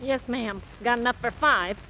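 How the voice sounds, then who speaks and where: An actress playing a put-upon household cook, terse and grumbling, in 1940s radio style. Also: female voice, on the high side, moderate pace, pushed and bright. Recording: dull, noisy old radio broadcast.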